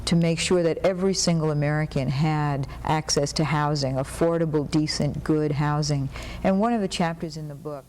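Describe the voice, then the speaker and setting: A woman biographer explaining her subject earnestly and passionately, pausing with frequent "um"s. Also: low voice, steady tone, darkish tone, slight background noise. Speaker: biographer guest.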